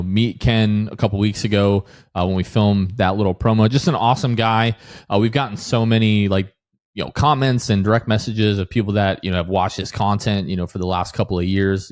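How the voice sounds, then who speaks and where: Guy cohosting a sports podcast, deeper voice, casual talk, very dry sound no room. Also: no echo.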